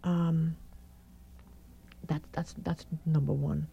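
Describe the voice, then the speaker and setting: A middle-aged woman doctor with a croaky, hoarse voice, calmly explaining her experience as a radio guest. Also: deep voice, slow pace, muffled recording. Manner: calm, reassuring, explains step by step. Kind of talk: radio interview.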